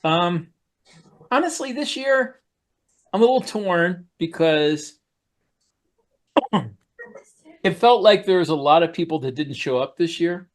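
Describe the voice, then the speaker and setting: A man co-hosting a podcast, talking casually and haltingly as he searches for words. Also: high-pitched, lively intonation. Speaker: podcast co-host and author. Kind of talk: casual conversational recollection.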